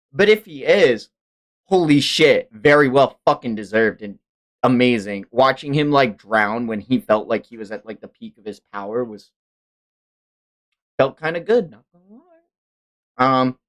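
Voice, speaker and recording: A man's voice: medium pitch, animated, normal speed, pauses often. A man hosting a casual livestream chat about manga, talking in hyperbolic fan slang and swearing often. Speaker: stream host.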